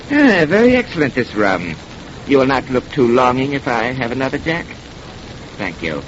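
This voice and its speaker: A man's voice: mid-range voice, big pitch swings, moderate pace, natural voice. Man acting a sly, theatrical pirate captain, swooping delivery. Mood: suave. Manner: theatrical, silky and sardonic. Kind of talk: old-time radio horror drama acting. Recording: muffled, noisy old radio recording.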